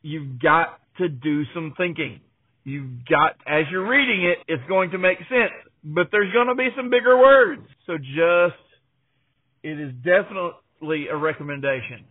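A male podcast host with a high-pitched, husky voice presents conversationally. The sound is narrow and phone-like. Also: measured pace.

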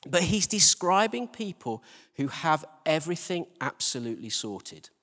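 A male preacher with a fairly high voice speaks in a long, churchy reverb. He delivers a conversational sermon with emphatic phrasing. He poses rhetorical questions and repeats key words to drive them home. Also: very animated, normal speed, a bit bright. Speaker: church team leader preaching. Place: large reverberant indoor church space.

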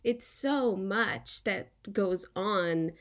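A woman's voice: mid-range voice, drawn-out words. A woman hosting a podcast interview, chatty and enthusiastic, peppering her talk with "like". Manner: enthusiastic, heavy use of "like". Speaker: podcast host. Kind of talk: casual interview chat.

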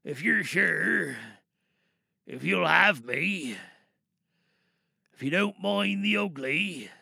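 A man playing a sad, bitter war veteran in an audio drama monologue, wistful and halting as he confesses his grief. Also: high voice, expressive, creaky, sharp tone, no echo, studio quality, full character voice. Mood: tender, hesitant.

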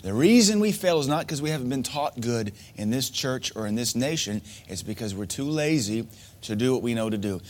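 A man's voice: mid-range voice, lively intonation, fairly quick, darkish tone, slight background noise. Emphatic male preacher. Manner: emphatic, repetitive preaching with rhetorical questions. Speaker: pastor. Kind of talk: sermon.

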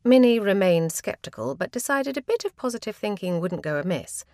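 Animated woman reading a story. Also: mid-range voice, brisk, dry recording. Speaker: story reader. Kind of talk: expressive scripted story narration.

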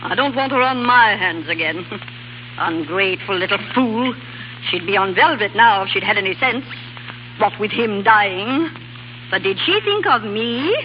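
An actress playing a bitter, scornful old shopkeeping aunt in a 1930s radio play, sharp-tongued and theatrical. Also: female voice, mid-range voice, expressive, a little slow, natural voice. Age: older. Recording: dull 1930s radio, faint hiss.

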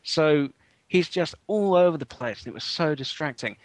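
A man's voice: on the high side, animated, moderate pace, no room sound. A man co-hosting a podcast, telling a story in a casual, wry way with lots of ums. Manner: chatty, wry storytelling with many fillers. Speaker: podcast co-host. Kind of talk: casual chat.

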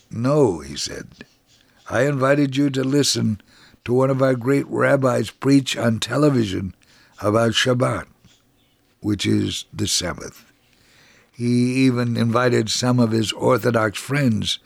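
Gravelly male storyteller, dry and close. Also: medium pitch, dry recording. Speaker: dramatic reader. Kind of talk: first-person dramatic story reading.

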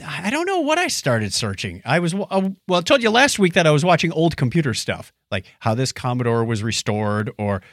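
A man's voice: on the high side, sing-song, brisk, hardly any pauses, a bit bright, no room sound, clean studio sound. A man hosting a podcast, casually explaining and telling stories in a conversational way. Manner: explanatory, storytelling. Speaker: podcast host. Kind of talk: casual chat.

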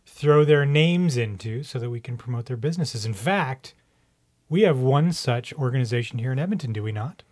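A man's voice: mid-range voice, animated, normal speed, darkish tone, no echo, studio quality. A man talking conversationally as a podcast co-host. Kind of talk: conversational podcast talk and opinion monologue.

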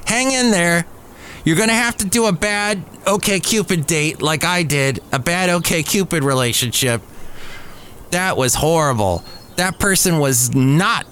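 A man's voice: mid-range voice, a bit bright, slight background noise. Rambling middle-aged male podcast host. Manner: digressive, self-correcting storyteller, chuckles at himself. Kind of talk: casual rambling monologue. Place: café, live podcast. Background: faint café ambience.

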